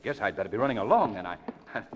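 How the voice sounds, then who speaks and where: An urbane gentleman from a 1940s radio serial. His lowish voice is very animated and courteous, ringing in a reverberant space. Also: fast, lo-fi sound, slight background noise. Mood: politely flustered. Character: polite, urbane gentleman feigning innocent surprise.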